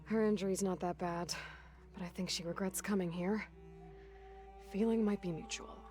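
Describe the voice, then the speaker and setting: A woman's voice: mid-range voice, fairly even intonation, normal speed, mellow, close to an everyday voice. Actress as young warrior woman in a game, matter of fact, guarded, practical, music going behind her. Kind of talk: naturalistic video game cutscene acting.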